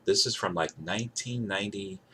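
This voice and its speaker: Low-voiced man rambling through a gadget demo. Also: a little fast, pushed and bright. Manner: repeats himself, rambling, emphatic. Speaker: gadget reviewer. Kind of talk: casual product demonstration to camera. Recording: clear background noise.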